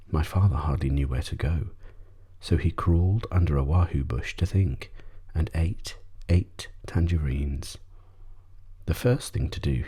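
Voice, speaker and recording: Soft deep guy doing asmr story narration, husky and kinda whispery, really dry close sound. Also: no room sound. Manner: soft-spoken, often trailing into a whisper. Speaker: audiobook reader.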